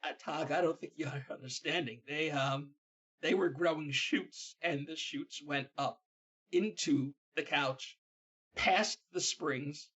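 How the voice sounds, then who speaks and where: A man's voice: fairly high pitch, slow, a bit bright, breathy edge, lo-fi sound, full character voice. A man playing a nervous, apologetic roommate in a comedy podcast, hesitant and stammering. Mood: uneasy, appalled. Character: nervous, apologetic male roommate bearing bad news.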